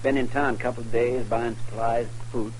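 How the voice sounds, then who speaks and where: An actor playing a deferential, folksy deputy in an old radio western. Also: male voice, mid-range voice, flat delivery, fairly quick, a little mellow, natural voice. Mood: dutiful. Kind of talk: 1950s radio western acting. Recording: noisy vintage radio recording.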